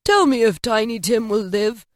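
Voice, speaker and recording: A woman's voice: on the high side, animated, moderate pace, no room sound, clean studio sound, voice pushed up, lightly acted. A woman voicing a remorseful old miser man who pleads with a spirit in anguish. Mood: pleading.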